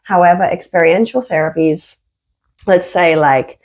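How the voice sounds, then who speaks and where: A woman therapist with a croaky, flu-affected voice, talking candidly and expressively in a podcast conversation. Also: on the low side, a little slow. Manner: expressive, emphatic, acts out quoted phrases. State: has a flu.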